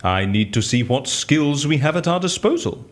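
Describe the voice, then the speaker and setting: Inquisitive prison schemer, very swooping intonation, raised pitch, theatrical, incredulous, audio drama. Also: male voice, very animated, normal speed. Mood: resolved. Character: excitable, inquisitive man scheming inside a prison.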